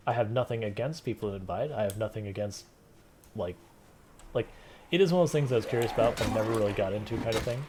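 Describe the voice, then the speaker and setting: A man's voice: lowish voice, fast talker, noisy background. Soothing, easygoing male streamer. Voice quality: soft, soothing voice. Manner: relaxed, rambling, many fillers and restarts. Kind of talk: casual gameplay stream chat.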